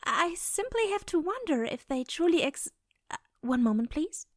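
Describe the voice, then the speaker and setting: A woman plays a gracious goddess in a fantasy audio drama. Her high voice rises and falls freely, polite and archly teasing. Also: big pitch swings, normal speed, dry recording, clean studio sound, exaggerated voice. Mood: archly curious.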